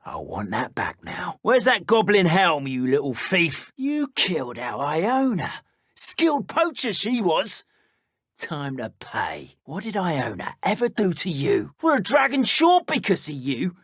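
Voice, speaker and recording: Rough, swooping British dark wizard thug. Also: male voice, fairly high pitch, wildly swooping pitch, unhurried, no room sound, clean studio sound, heavily acted. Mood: vengeful anger. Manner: taunting, threatening. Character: menacing male dark wizard poacher thug, taunting and ruthless. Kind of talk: video game combat voice lines.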